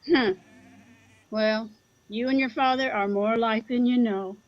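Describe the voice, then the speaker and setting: A woman's voice: on the high side, steady tone, measured pace, highs cut off, close to an everyday voice. A woman playing a plain-spoken rural Midwestern mother in an audio drama, dry, folksy and teasing with her grown son. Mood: wry teasing. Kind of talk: audio drama acting, conversational.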